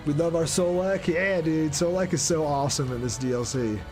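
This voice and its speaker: A man's voice: fairly high pitch, normal speed, a little mellow. A man giving casual gameplay commentary, thinking aloud in an informal way as he plays. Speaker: gaming video host. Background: game music and sounds.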